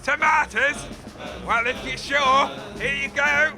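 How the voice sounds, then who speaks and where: A man's voice: very high voice, slow pace, over music, full character voice. A man playing a friendly, muddled, simple café keeper in a comedy audio drama. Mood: friendly, puzzled.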